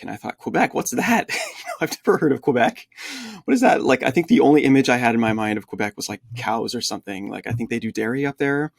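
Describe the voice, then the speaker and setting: A middle-aged man raised in the United States, a podcast guest telling his life story in an animated, chatty way with frequent fillers. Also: mid-range voice, creaky, no echo, studio quality. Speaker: guest, translation agency founder. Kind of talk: conversational podcast interview.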